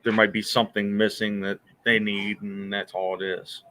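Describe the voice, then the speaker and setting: A man's voice: fairly low pitch, little pitch movement, normal speed, bright, forceful tone, slight background noise. A man co-hosting a casual podcast, a military veteran who talks in an easygoing, rambling way and chuckles often. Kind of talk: casual chat.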